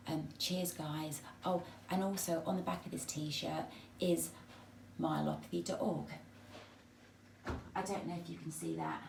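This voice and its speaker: A middle-aged woman with a husky, hoarse voice and a dry throat, speaking softly and haltingly in a personal message to viewers. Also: low voice, fairly even intonation, background noise. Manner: soft, halting, with many restarts and ums. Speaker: vlogger.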